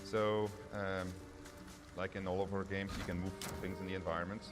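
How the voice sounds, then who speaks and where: A man's voice: low-pitched, little pitch movement, moderate pace. A man giving casual live commentary on a game demo, informally thinking aloud as he plays. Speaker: game developer presenting a gameplay demo. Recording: loud game music behind the voice. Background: game music and sound effects.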